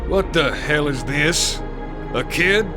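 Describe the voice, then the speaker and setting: An actor playing a gruff, demanding drill instructor with a barking, challenging delivery in a sci-fi drama. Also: male voice, a little high, animated, slow, music in the background. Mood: brusque, barking. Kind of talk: science fiction audio drama acting.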